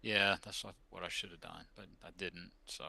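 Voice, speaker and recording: Middle aged guy, kinda low but bright punchy voice, casual podcast chat, dry sound. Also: fairly even intonation, normal speed, gravelly, breathy edge, dry recording. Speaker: podcast host. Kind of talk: casual chat about toy collecting.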